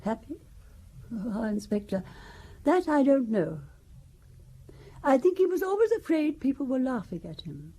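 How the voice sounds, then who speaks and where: A wry, husky older widow. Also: female voice, sits high, very animated, a little slow, long pauses, dark tone, exaggerated voice. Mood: confiding. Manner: wry, confiding, gossipy. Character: wealthy older widow, genteel, sharp-tongued about her daughter. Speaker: Valentine Besson. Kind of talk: radio drama acting.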